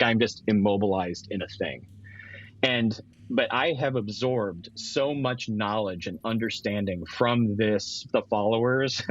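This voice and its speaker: A man playing a tabletop game, casually improvising a story as he talks it through. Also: medium pitch. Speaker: guest player in tabletop game. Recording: slightly dull lo-fi audio.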